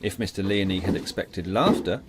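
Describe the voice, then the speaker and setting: A man's voice: low-pitched, normal speed, very noisy. A man narrating a scripted audiobook drama in a theatrical, emphatic storytelling manner.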